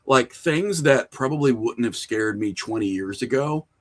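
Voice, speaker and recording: A middle-aged man speaks as a guest in a relaxed interview. His voice sits at an average male pitch. He talks candidly, pokes fun at himself and swears freely. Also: a little slow. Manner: candid, self-mocking, profane. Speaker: podcast guest, horror filmmaker and writer. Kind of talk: casual interview chat.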